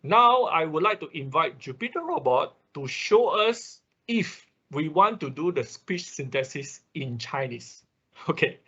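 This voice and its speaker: A man with a husky voice teaching a live online programming class informally, often repeating words and checking in as he explains. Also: a little high, sing-song, unhurried, bright, forceful tone, dull audio. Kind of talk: informal live technical tutorial, explaining code.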